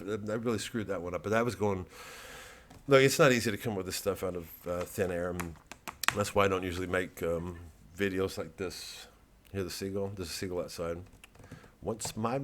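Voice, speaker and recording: A man who works as a comedian, talking in a casual, rambling vlog monologue with a deadpan delivery. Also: lowish voice. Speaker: comedian promoting his live show.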